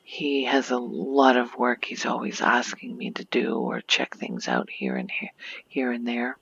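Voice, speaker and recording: A woman softly narrating an ASMR video game tour in a breathy, near-whispered voice, explaining gently and without hurry. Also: very deep voice, measured pace, no echo, muffled recording.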